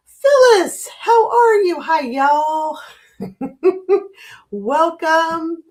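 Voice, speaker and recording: A woman in her fifties hosting a casual livestream, chatty and greeting viewers by name, with a stuffy, congested nose from a cold. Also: on the low side. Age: 50s. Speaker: livestream host.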